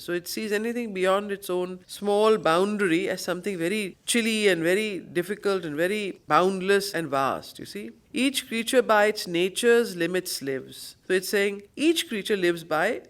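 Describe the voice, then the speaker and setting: Female study-circle teacher, emphatic and deliberate, reciting poetry and glossing it. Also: mid-range voice. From India. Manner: deliberate, emphatic, repeats phrases to explain them. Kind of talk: spiritual poetry reading with explanatory commentary.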